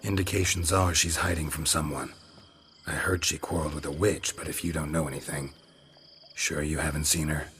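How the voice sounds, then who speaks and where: Low deadpan fantasy game witcher, stoic and terse, faint crickets behind him. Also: brisk, a little hiss or hum. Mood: serious. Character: stoic, dry-witted male fantasy witcher, world-weary wanderer. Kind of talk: dramatic video game dialogue.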